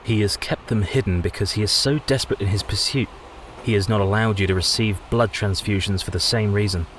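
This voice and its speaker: An actor playing a protective, troubled young Victorian husband in a radio play, formal and earnest. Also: male voice, on the low side, fairly even intonation, a little fast, fairly bright tone, slight background noise, lightly acted. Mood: tender, grave.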